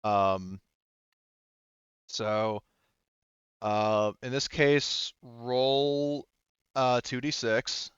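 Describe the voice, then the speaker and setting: A man's voice: medium pitch, fairly even intonation, drawn-out words, long pauses, fairly bright tone, clean tone, no room sound, dull audio. A man running a casual online tabletop game as game master, talking through rules informally. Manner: informal, sweary.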